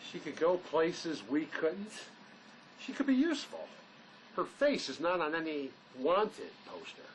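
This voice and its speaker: Man acting guarded fugitive with highish, lively voice. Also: a little slow, slight background noise, poor audio. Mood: protective. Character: guarded male fugitive named Gabe, protective and stubborn. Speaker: actor. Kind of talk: dramatic dialogue reading.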